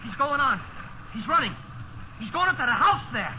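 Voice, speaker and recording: An actor playing an excitable, fretful shipwrecked sailor, a man in a 1950s radio drama. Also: very high voice, normal speed. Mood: excited. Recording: muffled noisy old radio recording.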